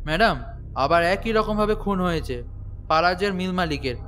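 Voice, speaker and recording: A man's voice: sits high, lively intonation, moderate pace, a bit bright, slight background noise. An actor voicing a deferential male police subordinate who reports case details to his superior, in an audio crime drama. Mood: reporting. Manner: deferential, reporting, matter-of-fact. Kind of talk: Bengali audio drama acting.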